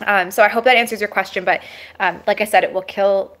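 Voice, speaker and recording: A woman's voice: medium pitch, steady tone, fast talker, a bit bright. A woman hosting a live Q&A on holistic health who has a sore throat and is losing her voice. She reads viewer questions and answers them casually, with many fillers and restarts. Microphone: webcam or phone livestream.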